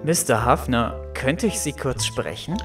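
A man playing a kindly, lenient boss with a calm, reassuring manner in an audio drama. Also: mid-range voice, unhurried, recorded from a distance, music in the background, natural voice. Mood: kindly concern.

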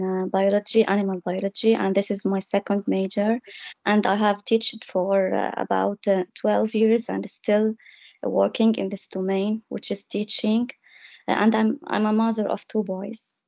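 A woman in her thirties giving a measured self-introduction as a student over a video call. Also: medium pitch, flat delivery, vocal fry, a little mellow, no echo, lo-fi sound.

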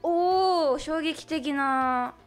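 A woman's voice: high voice, extremely slow. A woman co-hosting a radio show, reacting to the guest in short, casual remarks. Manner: mostly short listener reactions. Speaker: radio co-host. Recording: radio talk over music.

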